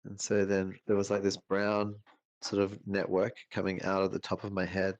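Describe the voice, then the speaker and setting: Low-voiced man rambling casually. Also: completely flat delivery, normal speed, no echo, highs cut off. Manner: rambling, hesitant, lots of restarts. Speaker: co-host. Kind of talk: casual absurd chat. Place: home setup, streamed show.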